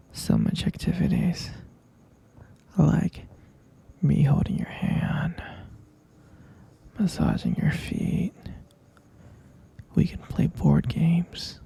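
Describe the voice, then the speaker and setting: Man acting a whispering, gravelly obsessive boyfriend. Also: fairly high pitch, warm tone, heavily acted. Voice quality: breathy, husky whisper. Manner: cooing, coaxing, insistent repetition. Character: obsessive, possessive lovesick male kidnapper boyfriend. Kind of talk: ASMR roleplay monologue.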